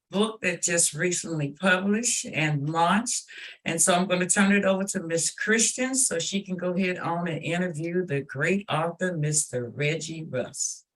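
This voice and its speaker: A man's voice: high voice, sharp tone, poor audio. A man hosting a podcast, introducing it in a conversational way. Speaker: podcast host.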